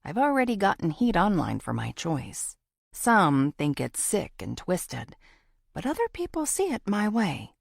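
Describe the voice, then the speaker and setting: A woman's voice: on the low side, huge pitch swings, a little slow, creaky, dry recording, clean studio sound. A woman narrating a first-person thriller audiobook from a script.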